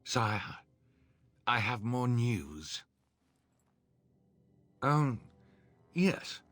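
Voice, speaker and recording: An actor playing a deferential, cautious military officer in a video game, careful and formal. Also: male voice, medium pitch, big pitch swings, very slow, fairly bright tone, no echo, exaggerated voice. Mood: cautious, uneasy.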